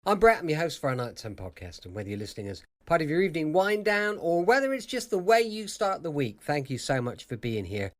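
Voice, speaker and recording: A man's voice: on the high side, big pitch swings, quick pace, hardly any pauses. A man giving a casual welcome as a podcast host.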